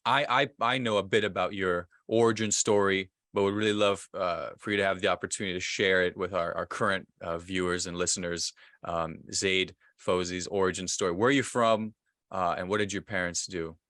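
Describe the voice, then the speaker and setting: A man with a low voice hosts a relaxed podcast chat, stumbling and repeating words as he sets up his questions. His voice sounds dry and close to the mic. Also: dry recording. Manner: halting, repeats words, says bro. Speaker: podcast host. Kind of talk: casual podcast interview.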